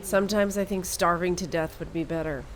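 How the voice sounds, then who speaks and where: A woman's voice: fairly low pitch, fairly even intonation, brisk, slight background noise, close to an everyday voice, low-quality recording. A woman playing a sly, scheming crew survivor with a sarcastic, wry manner.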